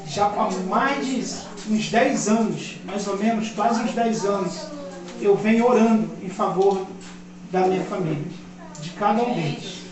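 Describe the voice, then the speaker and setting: A man in his fifties, a pastor from Brazil, preaching a sermon that swells louder on key points. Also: high-pitched, a little slow. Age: 50s. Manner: impassioned preaching, rising loud on key points. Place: church. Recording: echoey church hall, mic at a distance. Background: congregation responding.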